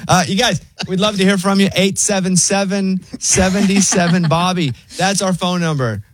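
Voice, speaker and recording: A man's voice: high-pitched, steady tone, moderate pace, noisy background. A man hosting a morning radio show, teasing his co-hosts in casual banter. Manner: teasing, easygoing.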